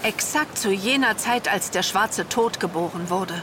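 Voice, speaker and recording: A woman's voice: medium pitch, unhurried, noisy background, natural voice. A woman playing an earnest mystical fighter of good in a horror audio drama, speaking solemnly, formally and urgently.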